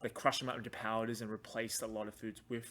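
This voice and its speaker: A man's voice: fairly low pitch, little pitch movement, quick pace, fairly bright tone, a little hiss or hum. A man from Hong Kong hosting a casual podcast chat in an explanatory way. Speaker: podcast host.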